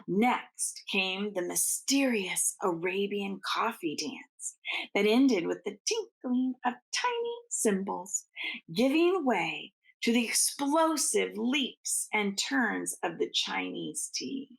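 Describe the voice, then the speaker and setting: A woman with a noticeably gravelly, creaky edge reads a children's story aloud. Her pitch is average for a woman and her pace is unremarkable. She speaks informally, as a teacher does to young students. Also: very animated, slow pace, rough recording.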